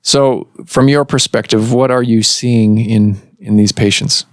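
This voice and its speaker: A man hosting a medical podcast as a doctor, explaining in a measured way with some fillers, recorded in a clinic office. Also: mid-range voice, fairly even intonation, dry recording, clean studio sound.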